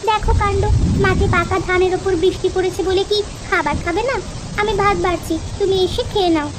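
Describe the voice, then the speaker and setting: A woman's voice: very high voice, fairly even intonation, a little fast, background noise. A woman voicing a bossy but caring village housewife in a children's cartoon, fussing in a homely way. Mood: nagging, caring. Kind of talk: children's moral-story cartoon dubbing.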